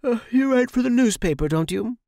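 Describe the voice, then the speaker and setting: Stern bored woman, acted by a male narrator, curt, insistent, animated intonation, dry sound, audio storybook. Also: very high voice, a little fast, dry recording, pitched above the performer's own voice. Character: stern, bored female housemate laying down house rules.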